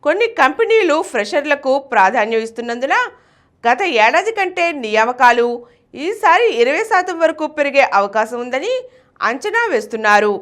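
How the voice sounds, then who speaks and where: High, lively woman newsreader. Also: moderate pace. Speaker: news reader. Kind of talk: scripted news reading.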